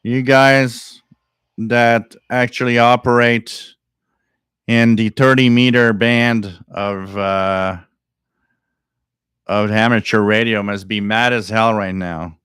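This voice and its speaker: Man, low voice, dry close sound, casual live host, thinks aloud, excitable. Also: takes it slowly, smooth, dry recording. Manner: musing, thinks aloud, repeats phrases; excited bursts over odd signals. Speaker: radio stream host.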